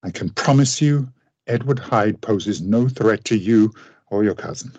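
A man playing a guarded, irritable gentleman doctor in a radio play, with a husky, creaky voice. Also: lowish voice, unhurried, no echo, lo-fi sound. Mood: guarded, weary.

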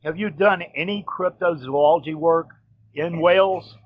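A man's voice: fairly high pitch, a little slow, slightly rough, no echo. Matter-of-fact male host, lo-fi audio. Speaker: podcast host. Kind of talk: casual interview conversation. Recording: dull lo-fi audio with faint noise.

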